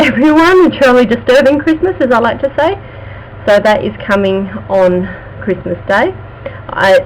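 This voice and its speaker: An Australian woman talks casually through a live stream, her speech halting and stuttered with lots of restarts. Her pitch sits in an ordinary middle range. A faint hiss runs under the home recording. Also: rough recording. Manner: halting, with restarts and many fillers. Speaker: true crime channel host.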